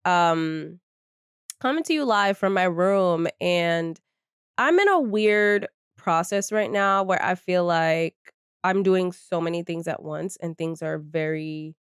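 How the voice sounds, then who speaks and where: A woman of thirty, talking straight to her viewers from her bedroom. Her voice sits low and comes through smooth and clear, close and dry on a desk mic. She is chatty and candid and drops the odd swear word. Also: lively intonation, slow, dry recording. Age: 30s. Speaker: channel host. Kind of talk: casual vlog talk to viewers. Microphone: desk microphone.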